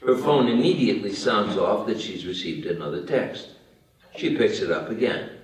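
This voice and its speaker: A man's voice: on the low side, normal speed. A man giving scripted narration of stage directions at a table read, in an echoey room. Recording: very echoey room, distant microphone.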